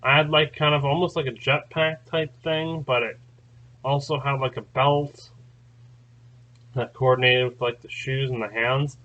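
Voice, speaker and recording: A man's voice: mid-range voice, fairly even intonation, unhurried, lo-fi sound. A man hosting his own channel, telling a long story in a rambling, casual way, full of fillers and restarts. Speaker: channel host. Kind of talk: casual spoken recounting.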